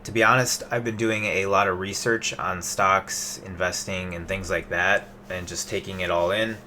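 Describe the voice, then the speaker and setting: A man in his late twenties talks casually at his desk, rambling through an investing update with lots of fillers and restarts. His voice sits a little low but comes across bright and forceful. A faint hum of background noise sits under the webcam sound. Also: fairly even intonation, normal speed. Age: 20s. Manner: loose and chatty, many fillers and restarts. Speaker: investing vlogger.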